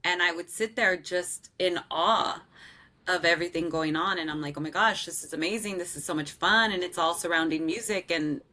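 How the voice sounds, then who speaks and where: This is a woman in her thirties, a singer from Southern California, talking about how she started singing. Her voice is loud and strong, with a bright, forward edge that sits slightly low. She talks in an animated, loose way, full of fillers and quick laughs. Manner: animated, laughs often, lots of "um" and "like". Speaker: singer and guest. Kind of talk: casual interview storytelling.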